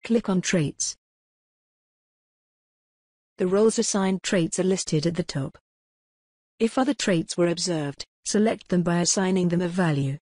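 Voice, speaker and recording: A woman reading scripted tutorial narration in a measured, impersonal, step-by-step way. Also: lowish voice, darkish tone, dry recording, clean studio sound.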